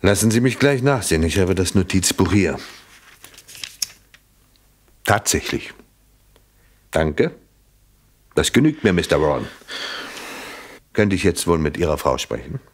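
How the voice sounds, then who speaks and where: A shrewd, doubting police inspector in a German radio play. His voice sits fairly low and moves expressively up and down, polite and measured with a dry, ironic edge. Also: sing-song, a little hiss or hum. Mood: skeptical probing.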